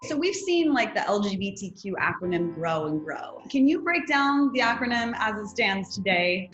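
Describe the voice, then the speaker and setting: A woman's voice: medium pitch, big pitch swings, moderate pace, a bit bright, echoey room, lo-fi sound. A woman interviewer asking questions in a casual way with many likes.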